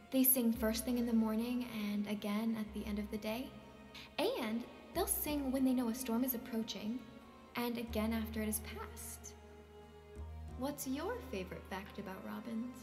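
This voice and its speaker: A woman's voice: on the high side, a little slow, darkish tone, long reverb tail, soft background music. An actress playing an intense, needy young woman in a stage drama reading. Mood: tender, wistful.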